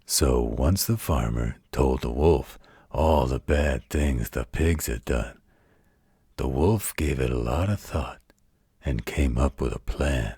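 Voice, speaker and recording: An extremely deep, husky werewolf played by a man tells a bedtime story in an audio roleplay. He is gruff but protective and tender, taking his time with a folksy, wry delivery. The sound is close and dry. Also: no room sound, exaggerated voice. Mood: folksy storytelling. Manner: folksy, unhurried, gently wry.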